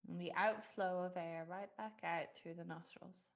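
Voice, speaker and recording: A woman leading a guided meditation in a gentle, unhurried way with long pauses. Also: lowish voice, steady tone, vocal fry. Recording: music behind the voice. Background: background music.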